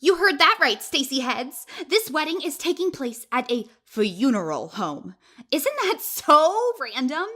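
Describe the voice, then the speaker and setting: Actress for eager teenage girl vlogger, audio drama; higher voice, lively swoops, chirpy presenter manner. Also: unhurried, heavily acted. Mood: perky, excited. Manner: chirpy, presenter-like, addressing an audience.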